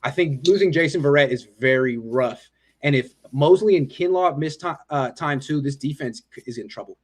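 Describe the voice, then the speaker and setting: A man's voice: mid-range voice, normal speed. A man hosting a casual sports discussion, speaking in a pointed, questioning manner. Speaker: host of a fan conference call.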